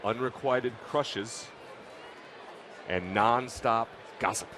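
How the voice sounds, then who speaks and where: A man hosting an interview, asking questions in an enthusiastic way and chiming in with quick agreement. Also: medium pitch, a crowd in the background, noisy background. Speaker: talk show host.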